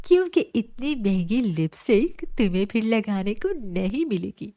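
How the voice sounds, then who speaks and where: A woman's voice: mid-range voice, animated, normal speed, warm tone, lightly acted. A woman voicing a condescending schoolgirl with a haughty manner in a cartoon story.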